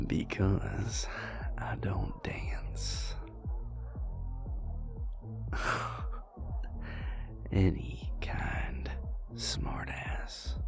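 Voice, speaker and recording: A man playing a dry, affectionate, stubborn vampire boyfriend in an intimate audio roleplay, speaking in a gravelly, breathy murmur with a teasing drawl. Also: deep voice, heavily acted. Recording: loud music behind the voice. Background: club music.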